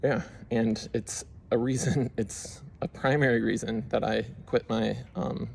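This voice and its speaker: A man's voice: mid-range voice, fairly even intonation, a little slow, vocal fry, darkish tone, slight background noise. A young man casually walking through his screen, rambling with lots of fillers as he explains what he did. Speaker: YouTube creator. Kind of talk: casual screen-share walkthrough.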